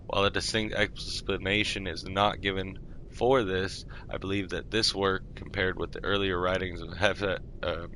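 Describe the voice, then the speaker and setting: A man streaming a game roleplay, reading lore aloud in a measured, formal way. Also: low-pitched, level tone, bright, forceful tone, a little hiss or hum, low-quality recording.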